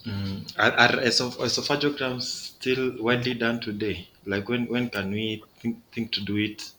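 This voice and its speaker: A man's voice: medium pitch, fairly even intonation, moderate pace, bright, forceful tone, low-quality recording. A young man, a trainee asking questions in class, hesitant and repeating words as he thinks aloud. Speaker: radiology trainee. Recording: faint background noise.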